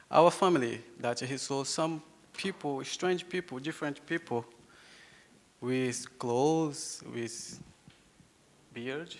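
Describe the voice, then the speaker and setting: A young man from Brazil with a Brazilian Portuguese accent, a Yawanawa speaker, giving a halting talk to an audience, repeating words and laughing often. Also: medium pitch. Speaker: invited speaker. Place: hall with an audience. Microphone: handheld microphone. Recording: hall reverb.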